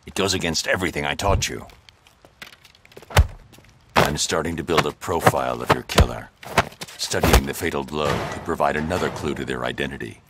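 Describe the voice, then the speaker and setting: A man playing a grim, brooding vigilante detective in a video game, low and gravelly, terse and stern. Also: normal speed, long pauses, heavy background noise. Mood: grim, analytical.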